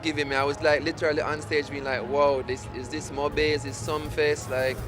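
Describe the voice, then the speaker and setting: A man talks casually in a festival interview. His voice is slightly high and flat in intonation, with music clearly heard behind. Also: a little fast. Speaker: festival performer being interviewed.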